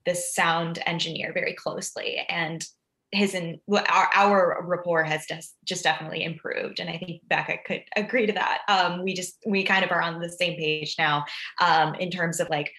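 A woman's voice: fairly low pitch, steady tone, moderate pace, pushed and bright. A woman talking casually as a podcast guest, sprinkling her explanations with "like". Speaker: podcast guest, ghostwriter and audio fiction producer. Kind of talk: casual interview chat.

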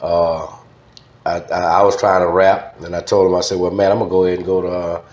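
A man's voice: deep voice, level tone, fast talker, a little mellow. A man in his fifties with a Louisiana Southern accent, telling stories casually in an interview and often dropping "man" into his phrases. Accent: Southern American, Louisiana. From Louisiana, United States. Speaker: podcast guest, former radio DJ. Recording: slightly dull, echoey studio sound.

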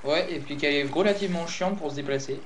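A man's voice: a little high, fast, slight background noise. A young man from France chatting casually while playing a game, teasing his partner and swearing in jest. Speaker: gamer, co-host of a Minecraft video. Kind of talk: casual gaming chat. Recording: echoey room with game music.

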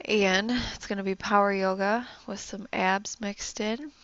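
A soft-spoken young woman, a yoga instructor, giving gentle, encouraging instructions and breathing cues. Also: mid-range voice, fairly even intonation, measured pace, no echo, dull audio. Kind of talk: guided yoga class instruction.

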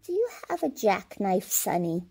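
Creaky, stubborn old grandmother played by a woman. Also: mid-range voice, big pitch swings, moderate pace, dark tone, heavily acted. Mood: wistful, blunt. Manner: querulous, blunt. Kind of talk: old-time radio comedy acting.

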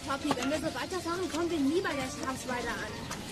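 Woman playing a high-voiced, clever girl detective. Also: brisk, loud music behind the voice, close to an everyday voice. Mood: brisk, reasoning. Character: sensible, clever, take-charge girl detective. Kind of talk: German audio drama acting. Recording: noisy outdoor ambience.

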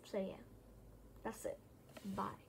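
A woman's voice: slow, a little hiss or hum. A young female vlogger chatting casually and hesitantly, often repeating her phrases, recorded in a room at home. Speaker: vlogger showing a plush toy collection. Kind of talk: casual rambling chat.

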